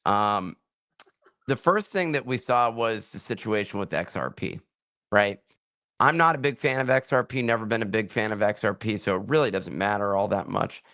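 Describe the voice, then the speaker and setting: A male co-host with a low voice talks in a dry, teasing manner. The recording is close and dry, with no room sound. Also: moderate pace, fairly bright tone, no room sound. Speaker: podcast co-host. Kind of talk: casual podcast banter.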